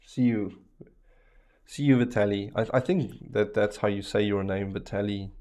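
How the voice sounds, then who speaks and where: A man's voice: on the low side, normal speed. Chatty Afrikaans-speaking man streaming. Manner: relaxed, chatty, many fillers and restarts. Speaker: streamer teaching networking. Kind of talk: casual live-stream chat while working a lab.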